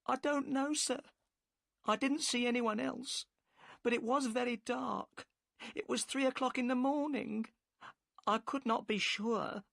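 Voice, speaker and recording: A man's voice: very high-pitched, steady tone, a little slow, gravelly, a bit bright, dry recording, clean studio sound, voice pushed up, heavily acted. A man voicing a worried, tearful middle-aged wife of a boatman, who answers deferentially and keeps calling him sir. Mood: anxious. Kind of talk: audiobook character voice.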